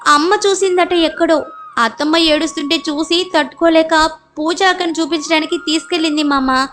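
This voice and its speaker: A woman's voice: extremely high pitch, steady tone, moderate pace, fairly bright tone, soft background music, pitched above the performer's own voice. A woman voicing an earnest young girl, a neighbour's daughter, in an audio story.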